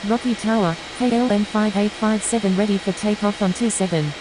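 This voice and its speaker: Woman playing female airline pilot, middle pitch, businesslike radio readbacks, flight game, noticeable hiss. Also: a little fast, lightly acted. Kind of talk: scripted radio phraseology.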